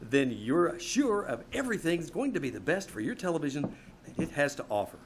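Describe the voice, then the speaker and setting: A man's voice: on the high side, very animated, brisk, slight background noise. A man reading scripted sponsor spots and credits as an old-time radio announcer, with emphatic salesman polish. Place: small community theater stage.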